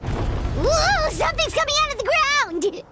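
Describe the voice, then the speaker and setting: A woman voicing a tiny, excitable floating companion in a game who talks about herself in the third person. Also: very high voice, a little slow, very noisy, heavily acted. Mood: startled. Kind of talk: game cutscene voice acting.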